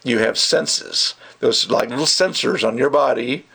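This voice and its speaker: An older man with a gravelly, creaky voice giving a casual, rambling review in an opinionated, emphatic way. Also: mid-range voice, a little slow, fairly bright tone. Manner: opinionated, rambling, emphatic, acts out mocking quotes. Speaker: audio gear reviewer. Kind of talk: casual ranting video review.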